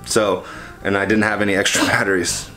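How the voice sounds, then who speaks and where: A man's voice: fairly low pitch, little pitch movement, a little fast, bright, forceful tone, soft background music, rough recording. A young man chatting casually through a cooking how-to, rambling and correcting himself as he films with a handheld camera in a small home kitchen. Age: young adult. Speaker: vlogger. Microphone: handheld camera at arm's length.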